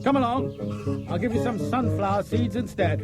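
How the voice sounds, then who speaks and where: A man's voice: high voice, lively intonation, moderate pace, over loud music. A man playing a kindly, exasperated old farmer in a children's audiobook, coaxing and grumbling. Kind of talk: acted audiobook storytelling for children.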